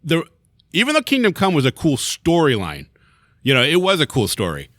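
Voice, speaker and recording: A man's voice: fairly high pitch, huge pitch swings, a little fast, fairly bright tone, dry recording, studio quality. A man co-hosting a podcast, chatting casually about comics, rambling and swearing, laughing often. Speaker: podcast co-host.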